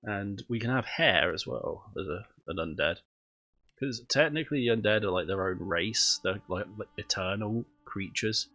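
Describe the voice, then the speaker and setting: A man's voice: fairly low pitch, moderate pace, soft background music. Nasal, stuffy-nosed man streaming. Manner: relaxed, thinking aloud with ums. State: stuffy nose from a cold. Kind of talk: casual game commentary.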